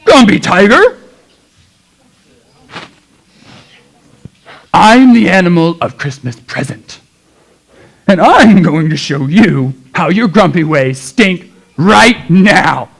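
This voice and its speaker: A puppeteer voices a preachy animal spirit in a children's Christmas show. It declaims and scolds in a very animated voice, taking its time over the words. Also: mid-range voice, slow, gravelly, husky, full character voice. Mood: announcing.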